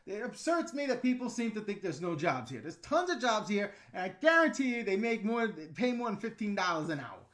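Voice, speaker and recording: A man's voice: high-pitched, a bit bright. A middle-aged man with a New York accent, hosting a casual livestream and answering chat in a blunt, ranting way. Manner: blunt, ranting, chatty with fillers. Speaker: YouTube host answering live chat.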